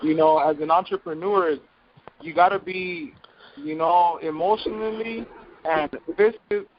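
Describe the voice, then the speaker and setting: A man in his twenties giving a casual personal account on a group call, halting and slangy as he goes. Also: on the high side. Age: 20s. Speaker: call guest, young entrepreneur. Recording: muffled noisy conference call.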